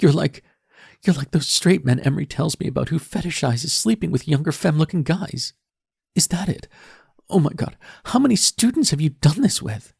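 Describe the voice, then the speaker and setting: Guy doing a teen girl voice way up high, sobbing mad and yelling, husky edge to it. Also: very animated, unhurried, dry recording, studio quality, heavily acted. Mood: accusing. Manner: shouting accusations through tears. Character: distraught teenage daughter, furious and tearful.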